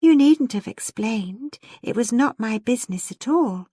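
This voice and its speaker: A petulant young lady from a storybook romance, played by a woman reader, high and insistent. She coaxes and pleads with proud, emotional urgency, in a very dry recording. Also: lively intonation, a little slow, mellow, husky, no echo.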